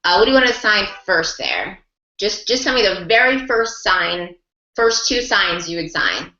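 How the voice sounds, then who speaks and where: A woman's voice: medium pitch, very animated, normal speed, pushed and bright, reverberant, muffled recording. A woman mentoring a group of sign language interpreters, encouraging and talking through examples with lots of rephrasing and repetition. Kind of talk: online group class discussion.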